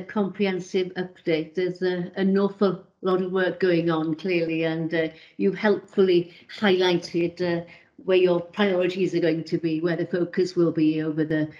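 Measured man chairing, dull call audio. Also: high voice, darkish tone. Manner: measured, courteous, with hesitations. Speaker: committee chair. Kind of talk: chairing an online meeting. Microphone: video call.

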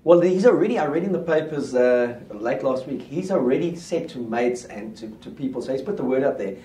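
Middle-aged man, rugby pundit, confident steady delivery, echoey studio, a bit off-mic. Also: mid-range voice, brisk, far from the mic, slight background noise. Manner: confident, steady, lays out predictions. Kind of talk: casual rugby chat. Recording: roomy studio sound, mic set back.

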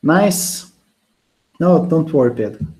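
A man in his thirties with a Brazilian Portuguese accent, English not his first language, giving a relaxed online university lecture from a small home office through a wired earphone mic, with many fillers and restarts. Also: a little high, slow. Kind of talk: casual online lecture answering student questions. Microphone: wired earphone mic on a video call.

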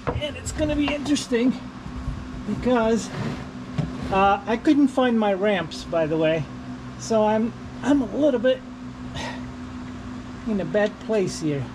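Male vlogger, casual rambling delivery, slow pace, mid-pitched voice, noisy working surroundings. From Portugal. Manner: halting, rambling, repeats himself. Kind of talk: casual unscripted vlog chat while working. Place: working on a car during an oil change. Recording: noisy, with vehicle and ambient hum.

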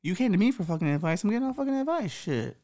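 Thirties guy w a little lisp, gravelly voice, swears a lot, rambles on. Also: high voice, big pitch swings, racing, mellow. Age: 30s. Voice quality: slight lisp. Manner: profane, rambling, self-mocking. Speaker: podcast host. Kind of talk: rambling advice monologue.

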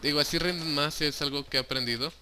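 A man's voice: fairly high pitch, fast talker, sharp tone, a little hiss or hum. A young man with a Mexican Spanish accent, chatting casually and joking as he narrates his own Minecraft play, swearing freely and dropping in English words. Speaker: gaming YouTuber.

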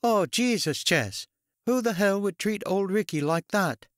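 A man's voice: high-pitched, lively intonation, unhurried, no room sound, clean studio sound, voice pushed up. A man voicing a blunt woman in her late fifties with a twangy Boston accent, exclaiming, in an audiobook. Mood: shocked, indignant.

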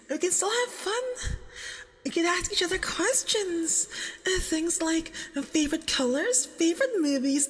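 A woman's voice: very high-pitched, fairly bright tone, full character voice. A woman playing a nervous, jealous, obsessive young femboy boyfriend in an ASMR roleplay, with a flustered, stammering, possessive manner. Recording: long reverb, doubled voice track.

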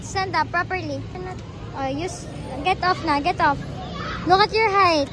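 High-voiced Filipino young woman vlogging. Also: moderate pace. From Philippines. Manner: playful, teasing, mixes English and Tagalog. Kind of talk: casual family vlog. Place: outdoor amusement park. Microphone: handheld phone camera. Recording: loud crowd and ride noise outdoors.

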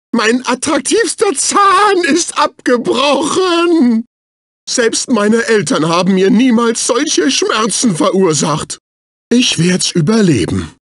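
High-pitched, prissy cartoon assistant. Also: male voice, a bit bright, no echo, clean studio sound, full character voice. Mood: distressed, whiny, then smug, pleased. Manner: prissy, exclamatory, condescending. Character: fussy, snobbish, servile male executive assistant, cartoon. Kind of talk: dubbed cartoon video game voice clips. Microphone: close studio microphone.

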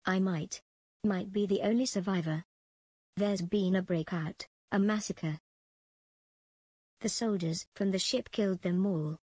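A woman's voice: medium pitch, fairly even intonation, unhurried, long pauses, warm tone, husky, dry recording. An actress playing a ruthless female prison commandant in a space empire, dictating clipped official log entries for a video game. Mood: shaken, urgent.

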